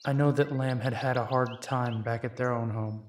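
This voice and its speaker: Male actor as werewolf detective, younger brother, soft-spoken, wry, reassuring, medium pitch, audio roleplay. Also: fairly even intonation, moderate pace, slight background noise, natural voice. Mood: gentle, reassuring. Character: werewolf private eye, gentle, dry-humored younger brother. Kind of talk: immersive roleplay audio drama.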